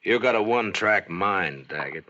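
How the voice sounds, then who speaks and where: An actor playing a firm, unflappable frontier marshal, terse and dry, in an old-time radio western. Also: male voice, low voice, expressive, moderate pace, pushed and bright. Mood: dry, steady. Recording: noisy, muffled old-time radio recording.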